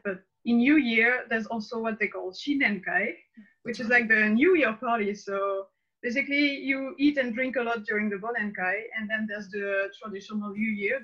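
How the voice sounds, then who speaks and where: Bright woman, muffled. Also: medium pitch. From France. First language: French. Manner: lively, explanatory, with fillers. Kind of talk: casual panel discussion.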